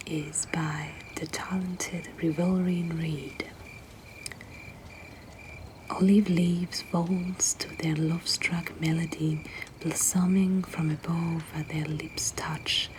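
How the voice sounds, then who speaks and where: A woman reading poetry in a hushed, breathy whisper, with measured and deliberate delivery. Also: sits low, noisy background. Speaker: poetry reader and host.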